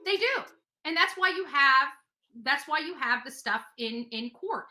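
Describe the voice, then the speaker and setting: A woman's voice: sits high, animated, a little slow, sharp tone, faint music behind. A woman talking casually and bluntly about herself in an interview, repeating phrases for emphasis. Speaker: interview guest. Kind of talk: casual personal storytelling in an interview.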